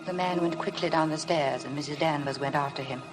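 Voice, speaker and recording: An actress playing a meek, nervous young English wife, refined and hesitant, in 1950s radio drama style with a British accent. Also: female voice, deep voice, fairly quick, over music, close to an everyday voice. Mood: hushed, apprehensive narration.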